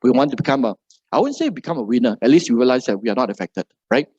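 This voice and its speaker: A man's voice: mid-range voice, animated, very fast, a little mellow, slightly rough, no room sound, lo-fi sound. A middle-aged man from Malaysia with a Malaysian English accent gives a seminar talk to a live audience, ending nearly every phrase with "right?". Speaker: motivational speaker.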